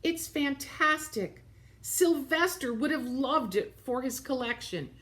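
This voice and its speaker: A woman voicing a wistful father donkey in a storybook, speaking with hushed wonder. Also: high voice, animated, slow, voice pushed up, full character voice.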